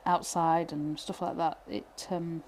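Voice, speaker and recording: A woman from Britain, teaching pyrography live, chatting and thinking aloud with many fillers while she works, her voice going a little hoarse. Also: deep voice, flat delivery, slow, a little mellow, a little hiss or hum. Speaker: pyrography instructor. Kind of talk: live tutorial chat while working.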